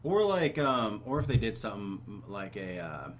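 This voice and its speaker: Man, lowish voice, sardonic, blunt, sweary gaming podcast chat, some background noise. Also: sing-song, moderate pace. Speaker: video game podcast host. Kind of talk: casual profane chat about games.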